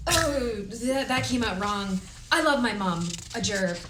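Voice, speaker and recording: A woman's voice: a little high, expressive, a little slow. A woman playing an awkward, earnest, easily flustered young singer in a comedy script table read. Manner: flustered, self-correcting. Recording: echoey room, clear background noise.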